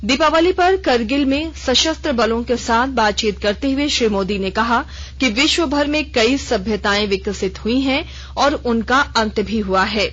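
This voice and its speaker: Woman newsreader on a dull, lo-fi radio broadcast. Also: a little high. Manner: measured, formal, even delivery. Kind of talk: read news bulletin.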